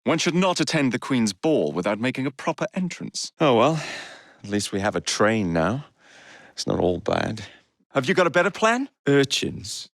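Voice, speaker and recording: A man's voice: medium pitch, big pitch swings, normal speed, a bit bright, dry recording, studio quality. A young man with an English accent playing a cocky, roguish Victorian gang leader in a video game, wry and sardonic. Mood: wry, breezy. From England. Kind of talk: scripted game character lines.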